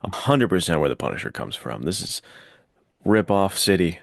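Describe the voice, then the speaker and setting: A man with a very low voice talks as a podcast co-host in a dry, close sound. He explains his points casually and with clear enthusiasm. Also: sing-song, normal speed, dry recording.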